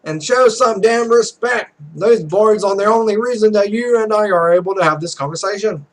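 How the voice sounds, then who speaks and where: Man voicing a scornful young female pro gamer, raised pitch. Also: normal speed, close to an everyday voice, rough recording. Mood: indignant. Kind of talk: amateur read-aloud of visual novel dialogue.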